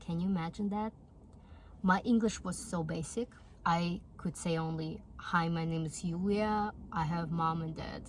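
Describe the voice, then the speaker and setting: A woman's voice: on the low side, fairly even intonation, unhurried, slight background noise. A woman in her twenties from Russia with a Russian accent and Russian as her first language. She speaks earnestly and encouragingly in a casual talk to the camera. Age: 20s. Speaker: vlogger.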